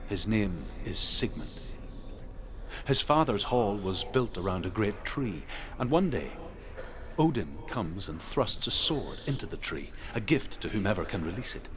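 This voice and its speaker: A man playing a grave storyteller who narrates old legends in a video game with measured, dramatic delivery. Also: lowish voice, big pitch swings. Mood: solemn, grave. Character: solemn male storyteller of old Norse legends. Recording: game audio with clear background noise.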